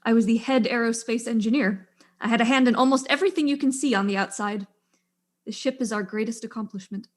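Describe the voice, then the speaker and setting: A woman's voice: on the high side, quick pace, close to an everyday voice. A woman playing a proud, weary widowed aerospace engineer, speaking with dry, exasperated formality in a stage-style mystery drama. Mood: composed.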